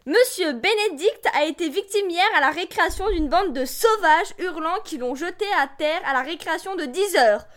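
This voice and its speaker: A woman's voice: high-pitched, very animated, unhurried. A young female amateur actor playing a prim, indignant mother in a theatrical reading of a complaint letter. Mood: outraged. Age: teenager or young adult student.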